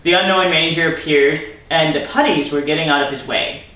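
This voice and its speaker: Bright-voiced sarcastic man in an echoey room. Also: on the high side, brisk. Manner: sarcastic, theatrical. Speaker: storyteller and commentator. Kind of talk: dramatic story reading with mocking asides. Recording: very echoey room, distant mic.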